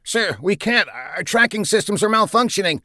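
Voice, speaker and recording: A man's voice: high voice, brisk, fairly bright tone, dry recording, studio quality, voice pushed up. A man voicing an eager fighter squadron captain who gives urgent, clipped military reports in a science fiction audiobook. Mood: alarmed.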